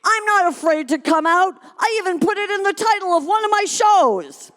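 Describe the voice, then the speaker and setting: A woman voicing brash, combative comediennes in a live story reading, loud and aggressive, in a reverberant hall. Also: very high-pitched, animated, pitched above the performer's own voice. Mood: aggressive, indignant. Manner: aggressive, loud, rapid-fire.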